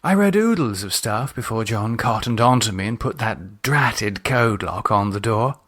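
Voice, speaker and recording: Audiobook role: male reader as arch aristocratic female cult leader, refined, self-satisfied, very varied pitch. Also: expressive, normal speed, a bit bright. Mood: smug. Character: poised, haughty aristocratic woman, playful cult leader. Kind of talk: audiobook character acting.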